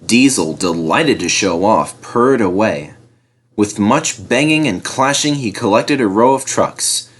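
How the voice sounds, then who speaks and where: Male storybook narrator, lowish pitch, expressive, animated intonation, scripted children's reading. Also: unhurried, husky.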